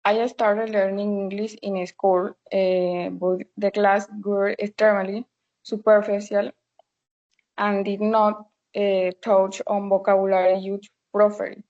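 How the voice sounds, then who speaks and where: A woman of twenty with a Colombian Spanish accent, giving a prepared account in halting phrases. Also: mid-range voice, little pitch movement, lo-fi sound. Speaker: podcast panelist, language student.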